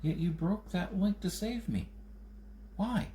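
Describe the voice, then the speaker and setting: A man's voice: high voice, takes it slowly, mellow, voice pushed up. A man voicing an anxious, questioning wounded adventurer in a science fiction audiobook. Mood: alarmed, urgent.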